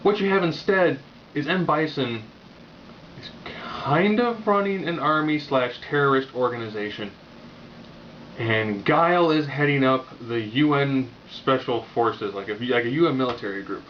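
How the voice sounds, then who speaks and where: A man with a mid-pitched voice talks through a movie review off the cuff, casual and opinionated. His delivery is wry and rambles a little. The recording is dull and lo-fi, with faint noise behind him. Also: normal speed. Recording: muffled lo-fi audio with background noise.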